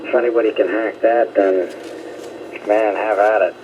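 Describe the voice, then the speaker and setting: A man's voice: lowish voice, steady tone, normal speed, darkish tone. A middle-aged man chatting in a folksy, rambling way over single-sideband amateur radio. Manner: folksy, colloquial. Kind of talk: rambling casual chat. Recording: muffled shortwave radio with static.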